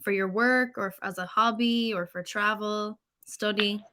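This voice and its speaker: A woman's voice: mid-range voice, steady tone, unhurried, pushed and bright. A woman from Ireland, tutoring English in a patient, encouraging way and asking follow-up questions. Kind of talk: online tutoring conversation.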